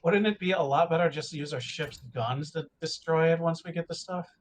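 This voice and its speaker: A man with a somewhat high voice speaks as a player in a tabletop roleplaying game. He asks questions casually. A little noise sits behind his voice. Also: fairly quick.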